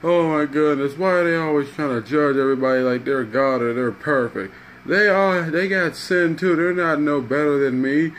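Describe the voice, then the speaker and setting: A man's voice: mid-range voice, expressive, a little fast, lightly acted. Man acting distraught addict, noisy recording. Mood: bitter complaining. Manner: agitated, complaining, self-pitying. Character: distraught, ashamed male drug addict, pleading and bitter.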